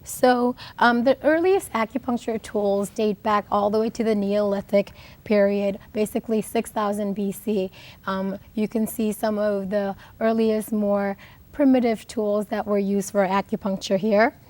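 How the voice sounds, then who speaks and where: Female co-host, mid pitch, husky with laryngitis, informal explainer style. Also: a little mellow. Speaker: co-host, acupuncturist and yoga instructor. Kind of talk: informal educational presentation.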